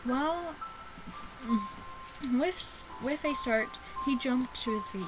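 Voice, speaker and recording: A woman with a fairly high voice plays host of a let's play, reading story text very slowly. She stumbles and drops in casual asides as she goes. Clear music plays behind her. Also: lively intonation, rough recording. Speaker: host of a gaming video, reading a visual novel aloud. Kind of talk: casual chat and read-aloud let's play.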